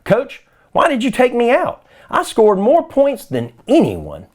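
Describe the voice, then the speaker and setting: A man's voice: very high voice, huge pitch swings, a little slow, voice pushed up, heavily acted. Man voicing a proud, competitive young girl. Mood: indignant. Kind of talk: children's storybook character voice.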